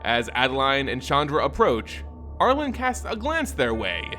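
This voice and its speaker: Man, dramatic fantasy narration, solemn, measured, lively intonation, faint music behind. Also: on the high side. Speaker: lore narrator. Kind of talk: dramatic scripted story narration.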